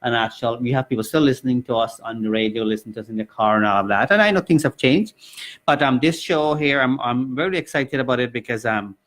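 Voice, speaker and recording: A man's voice: mid-range voice, quick pace, a bit bright. A man from Guyana hosting a live community radio talk show, speaking earnestly and loosely, with many fillers and restarts.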